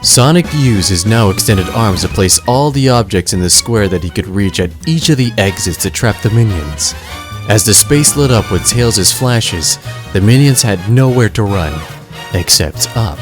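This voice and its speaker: A man's voice: lowish voice, animated, moderate pace, over music. A man narrating an audio drama in a dramatic, scripted storytelling style.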